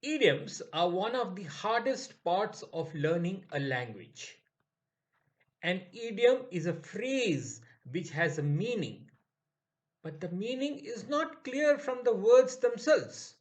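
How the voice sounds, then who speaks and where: A man narrating a scripted English idioms lesson in a slow, measured way. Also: high-pitched. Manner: slow, measured, lively intonation. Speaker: English teacher.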